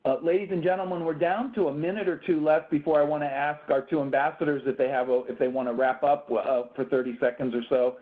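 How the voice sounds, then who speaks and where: A man's voice: a little high, steady tone, fast, few pauses. A man moderating a videoconference, polite and hesitant with frequent restarts. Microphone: video call. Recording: phone-like video call audio.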